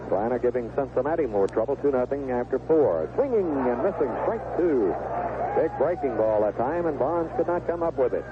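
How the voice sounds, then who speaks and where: A man with a mid-range voice calls baseball play-by-play for radio. He speaks as a live commentator, following each pitch as it comes. The sound is thin and band-limited, with heavy noise from an old seventies broadcast. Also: expressive, a little fast. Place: stadium broadcast booth. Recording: narrow, noisy 1970s AM radio broadcast.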